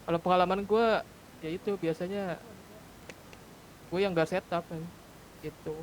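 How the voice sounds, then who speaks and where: Higher-voiced man, noisy game chat. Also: fairly even intonation, measured pace. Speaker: gamer in a roleplay crew. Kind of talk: casual gaming group chat. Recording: noisy voice chat with keyboard clicks.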